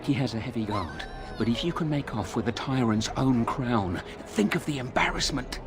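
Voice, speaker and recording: An actor playing a chummy, sly informant selling tips in a video game, speaking colloquially over game music. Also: male voice, mid-range voice, lively intonation, normal speed, natural voice. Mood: conspiratorial. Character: conspiratorial male informant selling tips to the rebels. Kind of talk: video game character dialogue.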